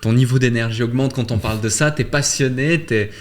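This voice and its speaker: A man's voice: medium pitch, a little fast. A man hosting a conversational interview, forming his questions with some hesitation and repeated words. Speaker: podcast host, interviewer.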